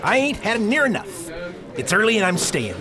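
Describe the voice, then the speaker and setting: A man playing a stubborn, boozy husband in a radio drama, loud and coarse. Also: high voice, very animated, normal speed, over music. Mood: boozy defiance.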